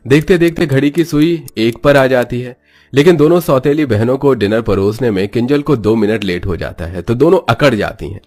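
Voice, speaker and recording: A man's voice: medium pitch, expressive, moderate pace, a little mellow, faint music behind. A man narrating a scripted moral story.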